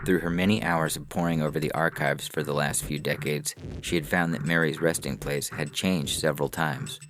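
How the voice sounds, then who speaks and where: A man's voice: very deep voice, steady tone, normal speed, a bit bright, faint music behind. A man reading scripted science fiction narration as a storyteller.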